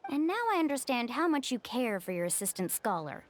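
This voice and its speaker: A woman's voice: fairly high pitch, big pitch swings, fairly quick. A woman voicing a cheerful young woman who guides her group and takes the lead. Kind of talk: game voice acting. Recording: game audio with faint music.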